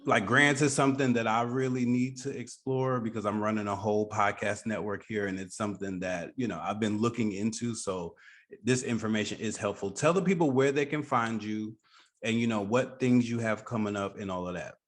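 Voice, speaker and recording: A man's voice: on the low side, a little fast. A man hosting a podcast, interviewing his guest in a casual, conversational style. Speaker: podcast host.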